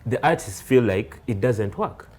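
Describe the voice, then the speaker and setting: Male talk host, mid-pitched, informal questioning with a halting, searching delivery. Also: animated, a little mellow. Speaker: interviewer, host. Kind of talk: conversational interview questioning.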